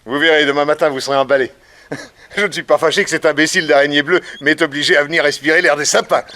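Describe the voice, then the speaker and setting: Actor as excitable, trusting businessman. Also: on the high side, fast, very noisy, lightly acted. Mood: jovial. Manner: hesitant, exclamatory, protesting. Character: wealthy businessman father, excitable, trusting, stubbornly naive. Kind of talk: 1960s French radio mystery serial acting.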